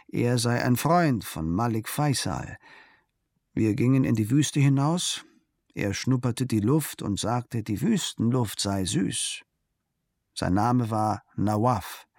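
A man calmly reading a travel diary aloud in a measured storytelling manner, recorded close to a studio mic. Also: medium pitch, dry recording. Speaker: narrator.

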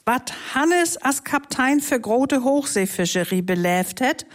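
A woman's voice: high-pitched, animated, a little slow. A woman hosting a talk show, chatting casually with guests and prompting them to tell their stories. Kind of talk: casual interview, mixing in Low German.

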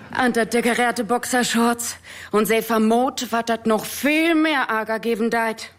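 A woman with a North German accent reading a comic story aloud in a performed style. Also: medium pitch.

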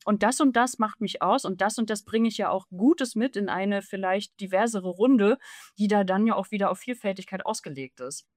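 A woman in her thirties, an author answering interview questions in long, animated run-on sentences. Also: mid-range voice, moderate pace, dry recording, studio quality. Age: 30s.

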